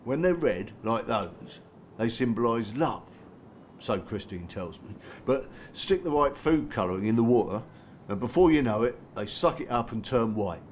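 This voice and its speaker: A man's voice: medium pitch, expressive, normal speed, slight background noise, close to an everyday voice. A man playing a blunt, streetwise, remorseful mentor in a naturalistic radio drama. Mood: earnest, blunt. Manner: blunt, colloquial, matey. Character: blunt, streetwise male abuse-group mentor, rough and remorseful.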